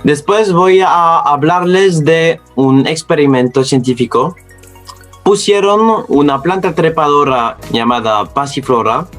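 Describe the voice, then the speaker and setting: A man with a non-native accent, speaking a second language as a student presenting an educational narration in deliberate, slightly halting phrases. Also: on the high side, a bit bright, soft background music. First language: not Spanish, speaks it as a second language.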